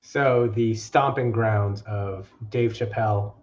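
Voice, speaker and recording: A man's voice: on the low side, fairly even intonation, slow pace, darkish tone. Man, laid-back co-host, reads comments, slightly muffled lo-fi sound. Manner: easygoing, agreeable, reads comments aloud. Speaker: co-host reading viewer comments. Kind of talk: casual chat. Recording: slightly dull remote audio.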